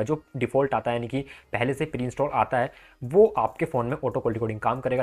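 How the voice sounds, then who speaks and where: A man, explaining tech in a conversational, step-by-step way as a channel host. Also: medium pitch. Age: 20s. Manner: conversational, explains step by step with frequent tag phrases. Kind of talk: explanatory tech vlog to camera and screen tutorial. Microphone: clip-on lapel mic.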